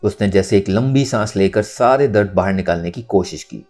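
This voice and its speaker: A man narrating a novel in a dramatic, expressive storytelling style. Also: on the low side. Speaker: audiobook narrator.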